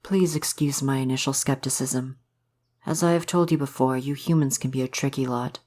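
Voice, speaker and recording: A man playing a gentle, courtly forest spirit in an ASMR roleplay, speaking in formal, old-fashioned, polite phrases. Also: medium pitch, steady tone, moderate pace, close to an everyday voice. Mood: wary, earnest. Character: gentle, courtly male forest spirit, curious about humans. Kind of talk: ASMR roleplay, soft romantic audio drama.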